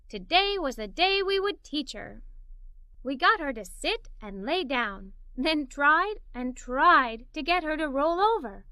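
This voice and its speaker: A woman playing a curious, excitable young girl who narrates a children's storybook in an animated, expressive way. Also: sits high, no room sound, heavily acted. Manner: animated, expressive, wide-eyed. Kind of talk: scripted children's story read-aloud.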